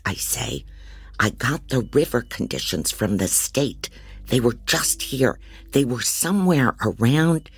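A man's voice: lowish voice, breathy edge, full character voice. A man playing a frantic, disorganized private investigator who narrates an audio drama in a rambling, wry, self-doubting way. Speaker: narrator and lead actor. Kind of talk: first-person dramatic audio fiction narration.

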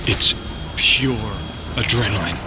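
A man's voice: fairly low pitch, slow, very noisy. A man playing a detached, clinically fascinated scientist in a science fiction audio drama. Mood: cold fascination. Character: detached, clinical male scientist watching a test subject. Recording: distant voice under loud music.

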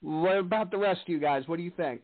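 A man's voice: high-pitched, fast talker. Interview host, male, rambling questions, tinny phone-line quality. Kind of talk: casual interview.

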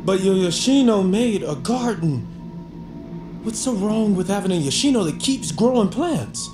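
A man's voice: high-pitched, lively intonation, a little slow. An actor playing a loyal man, a concerned friend, in an audio drama. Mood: pleading. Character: alarmed, loyal Southern friend pleading for sense. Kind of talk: dramatic audio fiction acting. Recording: music behind the voice.

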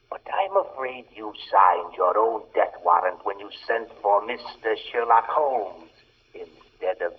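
An actor playing a suave, gloating criminal mastermind in a 1940s radio drama, with a husky voice and a mock-polite, deliberate way of talking. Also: male voice, low-pitched, slight background noise. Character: suave gloating criminal mastermind professor, coldly amused villain. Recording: muffled phone-like vintage radio recording.

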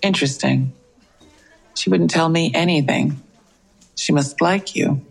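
A police investigator in a crime audio drama. The delivery is matter-of-fact and knowing, in a medium pitch with a gravelly creak. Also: unhurried, poor audio.

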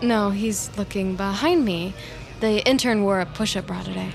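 A woman's voice: mid-range voice, moderate pace, vocal fry, music in the background, natural voice. A woman playing a nervous, evasive office worker in an audio drama, hesitant and stammering. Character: nervous, evasive woman hiding guilt at work.

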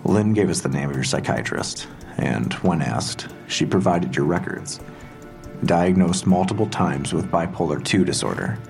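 A deep creaky male cop in an audio drama, calm, polite, keeps pushing, matter-of-fact. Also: soft background music. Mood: clinical, insistent. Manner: measured, softly insistent, matter-of-fact. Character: calm, probing male police interrogator, politely relentless. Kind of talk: full-cast audio drama acting.

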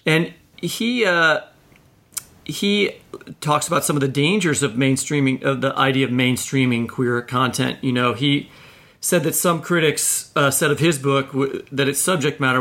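Male interviewer with plenty of vocal fry, mid pitch, thoughtful and halting. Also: a bit bright. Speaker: podcast host. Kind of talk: conversational literary interviewing.

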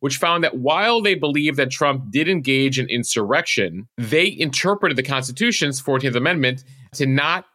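Bright, forceful American man explaining the news. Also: medium pitch, dry recording, studio quality. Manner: explanatory, walks through points step by step. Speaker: news podcast host.

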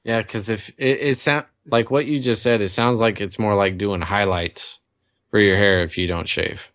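A man's voice: fairly low pitch, steady tone, normal speed, fairly bright tone. A tipsy man in his early thirties, goofy and full of questions on a casual podcast. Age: 30s. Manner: curious, goofy, asks questions. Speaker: podcast co-host. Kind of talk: casual drunk chat.

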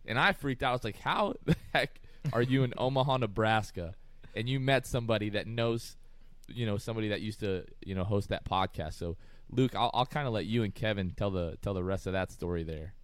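Upbeat low-voiced male podcast host. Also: brisk, no room sound. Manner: upbeat storyteller, laughs often. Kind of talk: casual podcast chat.

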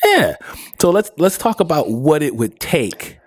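Animated man riffing with a lively voice. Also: on the high side, a little slow, no echo, studio quality. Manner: animated, questioning, laughs often. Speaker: podcast co-host. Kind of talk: casual pop culture chat.

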